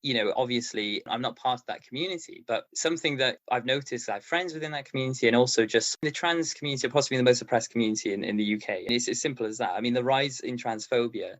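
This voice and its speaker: A man's voice: medium pitch, fast, few pauses, a bit bright. A man giving casual, opinionated answers as a podcast guest, earnest and conversational, often saying "you know". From born in Baghdad, Iraq, half Welsh. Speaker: podcast guest, lawyer and activist. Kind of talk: casual, opinionated interview talk.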